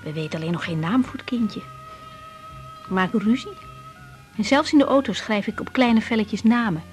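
A woman's voice: a little high, moderate pace, faint music behind, lightly acted. An actress playing a wry, guarded young newlywed woman doctor in a radio drama. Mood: reflective. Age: young adult. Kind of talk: 1980s Dutch radio drama acting.

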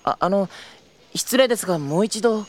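A man's voice: high-pitched, lively intonation, moderate pace. A young man in his twenties voicing an earnest new trainee who narrates and answers politely, a little flustered, in an audio drama. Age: 20s. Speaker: trainee tour guide, narrator and lead.

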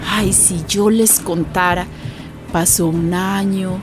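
A woman's voice: lowish voice, fairly even intonation, slow, over music. A woman playing an earthy, impassioned storyteller with a Colombian accent, narrating a radio drama expressively and speaking directly to listeners. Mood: grave.

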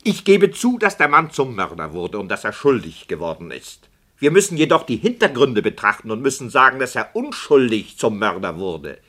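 A self-important director who argues with rhetorical flourish and dry irony. The mid-pitched voice rises and falls widely. It sounds like theatrical 1960s radio drama. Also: male voice, very animated, normal speed, fairly bright tone. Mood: indignant. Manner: rhetorical, sardonic. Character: indignant, argumentative radio play director, ironic and pompous.

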